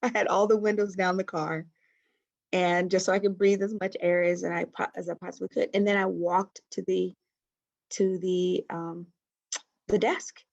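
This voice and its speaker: Soft-spoken woman on a video call. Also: on the low side, flat delivery, moderate pace, creaky, highs cut off. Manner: soft-spoken here, though loud by nature. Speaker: guest. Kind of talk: casual interview over video call. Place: small room indoors. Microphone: webcam on a video call.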